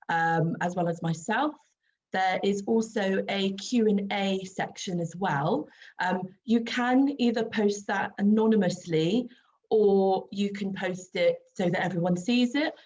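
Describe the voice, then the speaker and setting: A woman in her forties, croaky from a sinus issue, chatting casually with many ums as she hosts a webinar over a video call. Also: mid-range voice, a little mellow. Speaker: skin expert and trainer hosting a webinar. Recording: dull lo-fi video call audio with faint noise.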